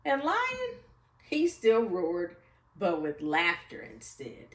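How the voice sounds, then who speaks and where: A woman reads a children's storybook aloud with very lively, swooping intonation. She takes her time over the lines in a slightly high voice. The room is echoey and she sits well back from the mic. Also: slow pace, distant mic. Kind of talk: expressive children's picture-book read-aloud.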